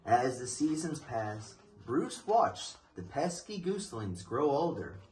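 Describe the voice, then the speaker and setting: A young man reading a children's picture book aloud in an expressive, deliberate storytelling manner. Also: medium pitch. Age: young adult. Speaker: storybook reader. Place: living room, indoors.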